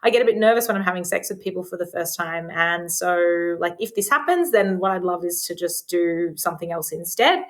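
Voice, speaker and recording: Woman guest, sex therapist; loud voice, slightly low pitch, frank and chatty. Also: lively intonation, fairly quick, few pauses. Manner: frank, open about sex, filler-heavy "like". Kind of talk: casual podcast conversation.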